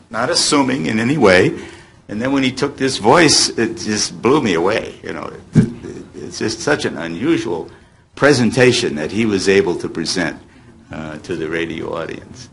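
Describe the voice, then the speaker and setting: An American man in his seventies speaks in a low, creaky voice, telling stories to an audience from a panel table. He draws out key words with relish, and there is light noise in the recording. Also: a bit bright. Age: 70s. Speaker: former child radio actor on a panel. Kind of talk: reminiscing to an audience, taking questions. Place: hotel meeting room, panel table. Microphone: table microphone on stand. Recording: noisy hotel meeting-room amplification.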